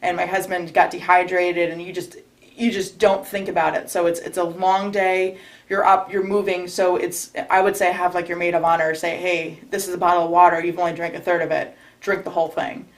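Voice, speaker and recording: A woman's voice: lowish voice, level tone, fairly quick, poor audio. A young woman, a recent bride from New York state, giving casual interview advice in a chatty way with frequent restarts. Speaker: recently married bride, guest.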